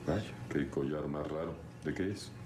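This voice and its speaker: Very deep-voiced, remorseful suitor. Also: male voice, unhurried, noisy background. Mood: insistent. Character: remorseful, earnest landowner pleading with former love. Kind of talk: 1970s telenovela acting. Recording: old TV audio under loud music.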